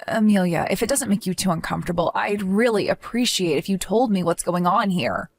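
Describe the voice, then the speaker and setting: A woman's voice: mid-range voice, animated, brisk, gravelly, no room sound. A woman voicing a protective young sister-in-law who speaks in an earnest, reassuring manner. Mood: caring.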